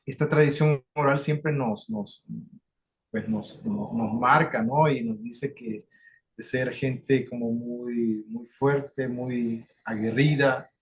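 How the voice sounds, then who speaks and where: A man's voice: mid-range voice, slow. A Salvadoran man, a community facilitator, talking in a video call interview, with many fillers and restarts.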